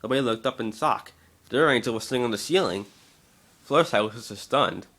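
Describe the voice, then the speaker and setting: A man's voice: mid-range voice, quick pace, fairly bright tone, slight background noise. A man giving an amateur scripted narration of a story, now and then stumbling over the words.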